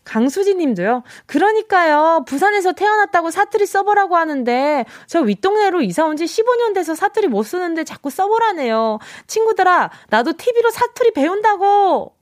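Young woman, radio DJ, high voice, very animated, dry studio sound. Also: moderate pace, few pauses, dry recording. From Busan, South Korea. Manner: bubbly, laughs often, acts out listeners' messages. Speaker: radio DJ host. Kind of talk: casual radio chat reading listener texts. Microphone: studio microphone.

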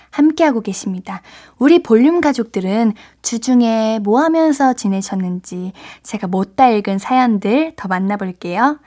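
A woman's voice: on the high side, warm tone. A woman hosting a late-night radio show, chatting and reacting to listener letters with frequent gasps and exclamations, speaking into a studio microphone. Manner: bubbly, gasps and exclaims often. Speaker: radio DJ host.

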